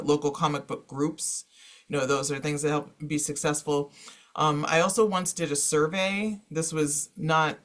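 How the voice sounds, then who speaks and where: A man's voice: on the high side, fairly bright tone. A man speaking informally on a panel as a librarian and teacher, in a hesitant, meandering way peppered with "you know".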